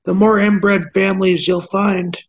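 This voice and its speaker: A man's voice: high-pitched, flat delivery, a little slow, gravelly, dull audio. A young American man from Tennessee chatting casually on a group video call. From Tennessee, United States.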